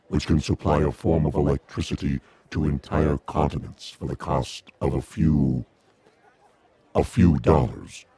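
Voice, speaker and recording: An actor playing a calm, courteous, inscrutable alien emissary in a radio drama, speaking formally in measured, deliberate phrases. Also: male voice, rumbling low.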